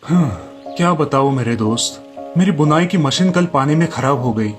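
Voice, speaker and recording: A man acts out a humble village weaver in a cartoon folk tale. He is earnest and deferential, with a fairly high male voice over clear background music. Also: expressive, normal speed, close to an everyday voice. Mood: puzzled. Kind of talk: animated Hindi storybook tale.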